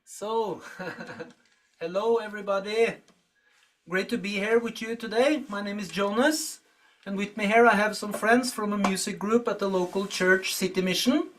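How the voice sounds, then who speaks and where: A man's voice: high voice, moderate pace, a bit bright, a little hiss or hum. A Norwegian man with a Norwegian accent, a musician introducing a song in English to an online audience. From Norway. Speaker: musician and songwriter.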